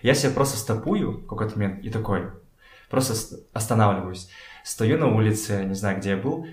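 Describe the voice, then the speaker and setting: Low-voiced man in an echoey room. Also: lively intonation. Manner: halting, searching for words. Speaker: podcast guest. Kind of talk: casual conversational storytelling. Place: indoors in a home.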